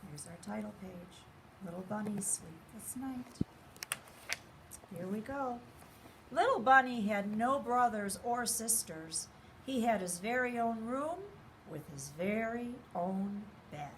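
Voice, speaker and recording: A woman's voice: medium pitch, animated, slow, noisy background. A woman reading a children's picture book aloud expressively, speaking directly to young listeners. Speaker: story reader.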